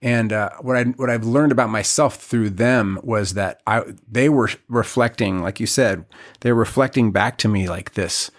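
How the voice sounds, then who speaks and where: A man in his forties, a guest on a podcast, talking in a reflective, halting way with many restarts. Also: on the low side. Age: 40s. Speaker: podcast guest, community leader. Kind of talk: casual podcast conversation.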